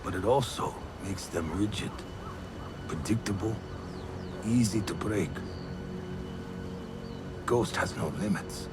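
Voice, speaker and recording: A man acting a cold, calculating warlord villain in a game cutscene, deliberate and menacing. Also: lowish voice, over loud music. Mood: cold menace.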